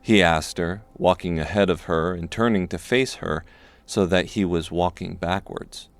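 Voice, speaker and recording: Male narrator for dark fantasy: very low-pitched voice, scripted storytelling, dry studio-close sound. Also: unhurried, no echo, faint music behind. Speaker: audiobook narrator.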